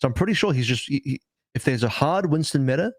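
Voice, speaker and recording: Male esports analyst, dry studio sound, mid-pitched, conversational but insistent, repeats himself for emphasis. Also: lively intonation, quick pace, no echo. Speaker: podcast panelist.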